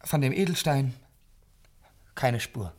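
A man's voice: medium pitch, animated, slow pace, a little mellow. An actor playing a nervous male thief who stammers and pleads as he confesses, in 1950s radio drama style. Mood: nervous confession.

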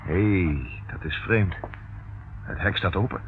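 Commanding detective, shrewd, measured, lowish voice, thin old radio drama recording, slight noise. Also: male voice, narrow, tinny audio. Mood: wry, puzzled. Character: shrewd, cool-headed male detective taking command in danger.

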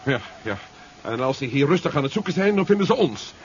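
An actor playing a brisk, confident adventurer hero with a dry manner in a 1970s radio drama. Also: male voice, on the high side, very animated. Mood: dry, impatient. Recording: muffled old radio recording, faint noise.

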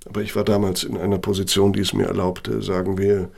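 An actor in a German radio play playing an elderly, self-justifying architect with a gravelly, husky voice and a measured, lecturing manner. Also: male voice, low voice, level tone, darkish tone. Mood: guarded, reminiscing. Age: older.

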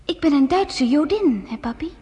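A woman's voice: sits high, expressive, moderate pace, dark tone, muffled recording. An earnest teenage girl. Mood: anxious. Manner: earnest, hesitant, stumbling over words. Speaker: radio drama actress. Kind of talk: radio play narration and acting.

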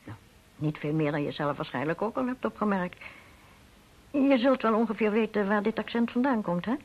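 An actress plays a shrewd woman phonetics expert in a radio drama. Her voice is husky and moves freely up and down as she weighs things up with fond, teasing composure. The old recording is dull. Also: medium pitch, animated, moderate pace, lightly acted. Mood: composed, analytical. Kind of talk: old radio play dialogue.

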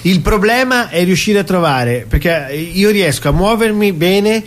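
A man's voice: high voice, lively intonation, unhurried. A man being interviewed as a cabaret actor, talking casually and at length, explaining and stacking up phrases. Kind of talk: casual interview talk about his stage show.

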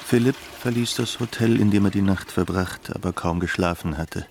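A sombre narrator with a low voice. He tells a literary tale of post-war Germany in the manner of a radio drama. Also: moderate pace, gravelly, noisy background. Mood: grave, measured.

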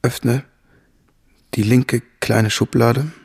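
An actor playing a sick, secretive man in a radio drama, weak and raspy as he gives instructions. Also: medium pitch, fairly even intonation, drawn-out words, a little mellow, full character voice. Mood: urgent, secretive. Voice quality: raspy, creaky. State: weak, feverish. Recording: music behind the voice.

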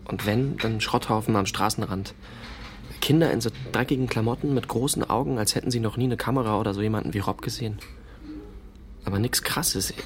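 Guy acting as unsure teen boy, radio drama, lowish voice, colloquial and halting, noisy background. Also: lightly acted. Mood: hesitant, reflective. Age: teenage. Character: sullen, insecure fourteen-year-old boy, younger brother of a soldier. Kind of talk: naturalistic radio drama, dialogue and narration.

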